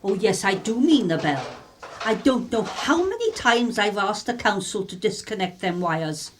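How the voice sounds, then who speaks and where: A woman's voice: mid-range voice, very animated, moderate pace, slight background noise, close to an everyday voice. A woman playing an indignant, nosy, scolding neighbour in a radio play.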